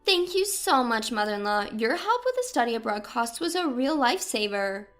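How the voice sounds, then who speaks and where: A woman puts on a scheming middle-aged daughter-in-law in an audio story, effusive and polite. The voice is high, with gushing, very mobile intonation. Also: big pitch swings, moderate pace, a bit bright, faint music behind. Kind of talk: acted dialogue in an audio story.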